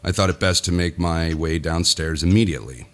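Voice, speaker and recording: A man's voice: sits low, a little fast, natural voice. An actor playing a weary, self-important businessman who confides his nightmare theatrically in a horror audio drama. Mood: regretful, uneasy. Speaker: lead actor narrating in character. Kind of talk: dramatic first-person audio horror narration.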